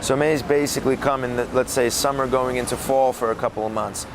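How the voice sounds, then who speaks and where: Brief: man, mid-pitched, chatty advice-giver, street noise behind. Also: steady tone, brisk. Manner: advising, rambling. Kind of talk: giving advice in an interview.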